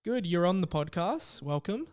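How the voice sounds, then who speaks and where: A man's voice: high voice, very animated, normal speed, a little mellow. A man with an Australian accent hosting a podcast in casual, informal chat with a mock-aggrieved way of talking. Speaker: podcast host.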